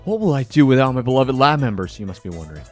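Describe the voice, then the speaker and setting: A man presents a scripted fan commentary video. His delivery swings widely in pitch, with enthusiastic, dramatic emphasis. Light music plays behind his mid-pitched voice. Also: sing-song, very fast. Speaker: video host and anime fan. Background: background music.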